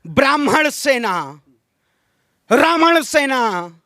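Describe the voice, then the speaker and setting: A man's voice: extremely high pitch, big pitch swings, very slow, pushed and bright, dry recording, studio quality. A man giving an impassioned political interview in a combative, declamatory manner, repeating phrases for emphasis. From Ayodhya, Uttar Pradesh, India. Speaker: political activist being interviewed.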